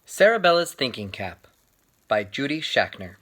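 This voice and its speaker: A man reading a children's book aloud in an expressive storytelling style, with theatrical, deliberate phrasing and dramatic pauses. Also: medium pitch.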